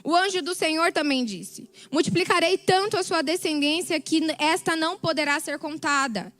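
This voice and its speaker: A woman preaching a passionate sermon with a Brazilian Portuguese accent, speaking with emphatic repetition and a slightly worn, hoarse voice. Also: very high-pitched, normal speed, a bit bright. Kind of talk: sermon testimony.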